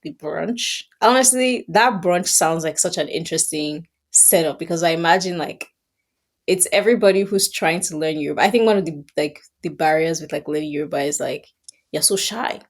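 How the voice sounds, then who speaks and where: A woman's voice: sits low, normal speed. A woman hosting a podcast, asking curious, playful questions in a casual chat. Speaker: podcast host. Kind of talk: casual conversational interview.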